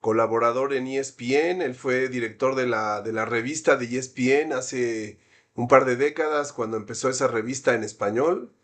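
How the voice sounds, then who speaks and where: A man hosting an academic seminar, introducing a guest in a friendly, measured way. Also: mid-range voice. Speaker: seminar host.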